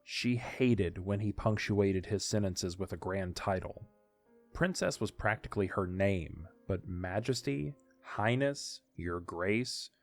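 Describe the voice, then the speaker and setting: A man narrating an audiodrama as scripted story narration. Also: on the low side.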